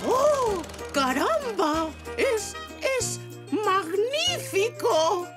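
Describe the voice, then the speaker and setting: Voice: extremely high pitch, big pitch swings, very slow, music in the background, full character voice. A voice performer playing a giddy, exclaiming great-grandmother zebra in a dubbed cartoon. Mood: gleeful. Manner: gleeful, exclaiming.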